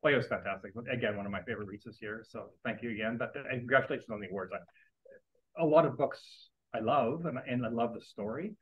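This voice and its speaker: A man in a book club audience giving the author an earnest, rambling compliment, halting and restarting as he speaks. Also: medium pitch, breathy edge, muffled recording. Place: library meeting room.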